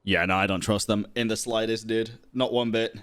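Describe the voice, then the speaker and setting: A man's voice: fairly low pitch, fairly even intonation, normal speed, fairly bright tone, no echo. A man giving casual live gameplay reactions as a streamer, slangy, sweary and wry.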